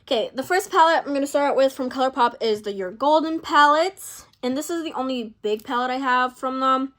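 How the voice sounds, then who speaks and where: Young woman vlogger, slightly high, chatty and rambling, voice worn from long talking. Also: brisk, a bit bright. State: losing her voice. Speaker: beauty vlogger. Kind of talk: casual chat to camera.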